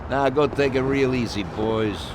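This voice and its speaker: Older crime boss in a game cutscene; slow, warm-handed authority, street din behind. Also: male voice, medium pitch. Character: older male mob boss, genial and in charge. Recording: game audio with street noise.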